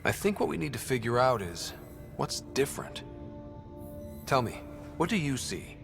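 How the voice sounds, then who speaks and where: An earnest young human man leading an expedition. His mid-pitched voice moves expressively and has a gravelly fry at the edges. He talks easily and conversationally, as in a cinematic video game, over faint music. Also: big pitch swings, moderate pace. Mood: focused. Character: young human male explorer, earnest, easygoing expedition leader. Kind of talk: naturalistic video game acting.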